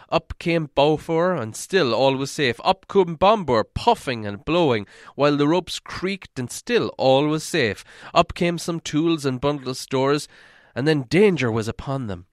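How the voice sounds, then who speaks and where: A man's voice: on the high side, no echo. Dramatic male storybook narrator, dry sound. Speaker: audiobook reader.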